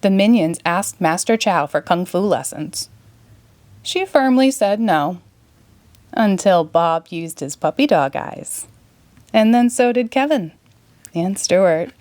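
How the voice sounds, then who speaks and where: Lady reading a kids book out loud, clear and expressive, really dry sound. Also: medium pitch, slow, no room sound. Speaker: storybook reader. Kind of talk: children's read-aloud narration.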